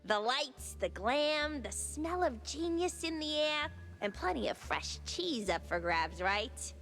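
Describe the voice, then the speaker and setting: An actress playing a sassy, quick-witted young mouse tinkerer in a cartoon game, teasing and expressive. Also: female voice, high voice, faint music behind, heavily acted. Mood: starstruck, pleading.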